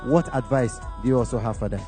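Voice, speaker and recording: Male interviewer, mellow tone, short questions. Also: mid-range voice, steady tone, fast, soft background music. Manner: brief, questioning.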